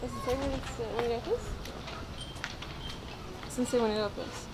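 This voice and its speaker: A woman's voice: fairly high pitch, steady tone, normal speed. A woman in casual conversation with her travel companion, walking outdoors along a street. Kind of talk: casual chat. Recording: noisy outdoor street recording. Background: street ambience and traffic.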